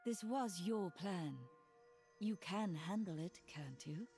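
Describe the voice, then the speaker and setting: A woman playing a solemn, cool necromancer heroine in a video game, speaking in a measured, terse way. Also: fairly low pitch. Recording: loud game music behind.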